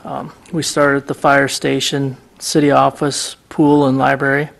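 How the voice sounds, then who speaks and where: A man, the public works director, giving a steady report with many ums at a council meeting in a city council chamber. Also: mid-range voice, measured pace, vocal fry.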